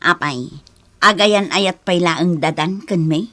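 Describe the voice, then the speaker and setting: An actress playing a meddling middle-aged auntie in an Ilocano radio drama, insinuating and insistent, with a Filipino accent. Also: female voice, on the low side, slow, a bit bright. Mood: gossipy, insinuating.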